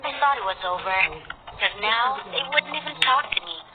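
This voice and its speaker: A woman's voice: sits high, lively intonation, normal speed, noisy background. A woman reading a dramatic first-person scripted story as its narrator. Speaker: narrator of a cartoon story, in character as a young wife. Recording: thin phone-like sound, loud music behind. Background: loud music.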